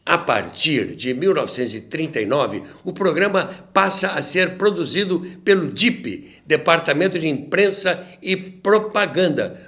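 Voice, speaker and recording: A man's voice: on the high side, fairly bright tone. A Brazilian man hosting and narrating a scripted history documentary, explaining things in a didactic way with conversational asides to the listener. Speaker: host and narrator of a radio history show.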